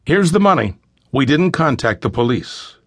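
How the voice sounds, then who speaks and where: A man's voice: mid-range voice, sing-song, moderate pace, a bit bright, no echo, voice pushed up. A man voicing an anxious father who tries to stay courteous and firm, in an audiobook thriller. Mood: firm, tense. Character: anxious father pleading for daughters, trying to sound firm.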